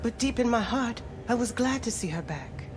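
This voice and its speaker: An actress playing a wistful, rueful club worker in a video game, speaking casually and confidingly. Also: female voice, on the high side, animated, moderate pace, noisy background, lightly acted. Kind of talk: video game cutscene acting.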